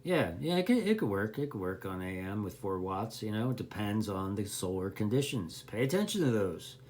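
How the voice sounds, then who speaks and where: A man's voice: lowish voice, very animated, normal speed, a little mellow, slight background noise. A man giving unscripted, casual beginner advice as a hobbyist presenter, in a rambling, plainspoken, conversational way. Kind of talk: unscripted casual explanation to camera.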